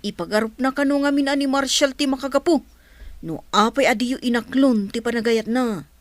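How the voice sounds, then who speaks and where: An eager young manservant in a radio drama, voiced by a woman. He speaks earnestly in a high voice, with a dry, close sound. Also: a little fast, no echo, lightly acted. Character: young male servant, Joven, eager.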